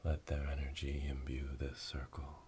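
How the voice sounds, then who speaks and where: Guy doing a solemn witch asmr ritual, breathy whisper, super flat and monotone, close binaural mic w/ noticeable background noise. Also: extremely low pitch. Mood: solemn, hushed. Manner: slow, solemn, incantatory, monotone. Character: solemn witch leading a dark initiation ritual. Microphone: binaural microphones, close to the ears.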